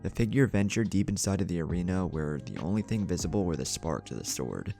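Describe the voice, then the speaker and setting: Deep, creaky male story narrator. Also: animated, brisk, dark tone, soft background music. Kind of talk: dramatic scripted fan fiction narration.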